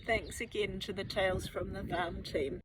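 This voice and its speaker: A woman's voice: mid-range voice, fairly even intonation, normal speed. A woman with a New Zealand accent hosting a library story time for children in an informal presenting style. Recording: noisy outdoor rural ambience.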